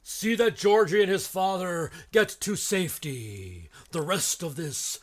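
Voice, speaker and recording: An actor as stern, grandiose resistance leader, dramatic swoops. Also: male voice, high-pitched, lively intonation, background noise. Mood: stern, merciless. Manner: grandiose, theatrical, deliberate pauses. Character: stern, righteous doctor leading common-sense resistance. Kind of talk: audio drama acting.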